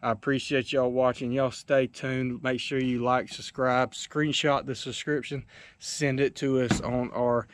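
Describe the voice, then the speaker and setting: A man's voice: medium pitch, flat delivery, measured pace. A young man, rambling casually to his viewers with many fillers, recorded outdoors on a camera held at arm's length. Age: young adult. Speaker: outdoors channel host. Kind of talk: casual vlog outro. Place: outdoors in a dirt field by trees.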